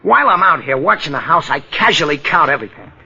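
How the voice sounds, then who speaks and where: An actor playing a jittery, wisecracking sidekick, comic and excitable with folksy slang, in an old-time radio mystery. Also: male voice, a little high, fast talker, vocal fry, a crowd in the background. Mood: excited. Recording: dull old radio recording.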